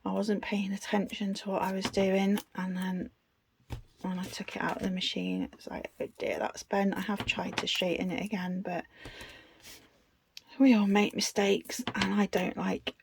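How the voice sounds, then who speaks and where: A woman's voice: medium pitch, fairly even intonation, normal speed, creaky, a little hiss or hum. A British woman giving a casual, unscripted craft tutorial in a chatty, self-deprecating way. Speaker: craft video host.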